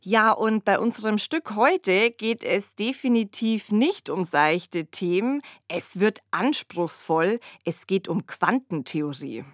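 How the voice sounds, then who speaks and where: Female podcast co-host, mid-pitched, talks things through analytically in a conversational register. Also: animated, slow, few pauses. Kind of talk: casual discussion of science fiction radio plays.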